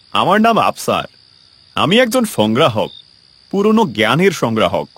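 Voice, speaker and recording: A man voicing a mysterious, composed elderly scholar in a cartoon, speaking in a formal, measured way. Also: medium pitch, huge pitch swings, fairly bright tone, slightly rough, exaggerated voice. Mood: mysterious, assured. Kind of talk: horror cartoon character acting.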